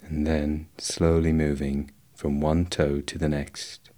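A man guiding a meditation in a slow, measured, instructional way, leaving long pauses between phrases. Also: very deep voice, level tone, dark tone. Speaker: meditation guide. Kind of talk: guided body scan meditation.

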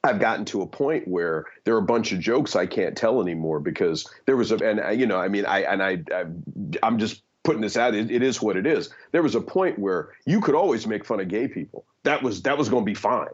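Earnest fifty-something man, halting and heartfelt. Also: on the low side, expressive, highs cut off. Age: 50s. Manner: earnest, hesitant restarts, many fillers. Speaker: guest. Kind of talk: candid conversational opinion.

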